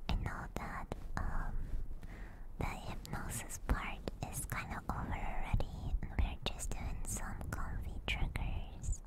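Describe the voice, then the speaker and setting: A woman streamer whispering breathily in a soothing, gentle way, chatting casually in ASMR style close to a binaural microphone. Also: slow. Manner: soothing, gentle personal attention. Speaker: ASMR streamer hosting a live chat. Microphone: close binaural stereo microphone.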